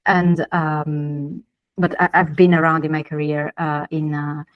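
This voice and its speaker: A woman from Italy moderating an online panel, halting with many fillers. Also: low-pitched, level tone, no echo, dull audio.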